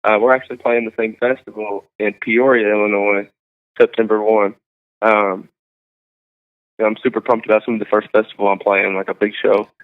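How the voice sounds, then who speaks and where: Young male guest, rambling and filler-heavy, smooth voice, tinny phone-like sound. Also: on the low side, flat delivery. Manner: halting, full of likes and ums. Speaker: singer-songwriter guest. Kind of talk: casual interview chat.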